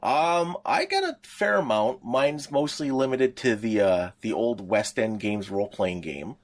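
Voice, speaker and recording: A man's voice: medium pitch, big pitch swings, measured pace. A middle-aged man chatting informally as a podcast co-host. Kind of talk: casual conversation.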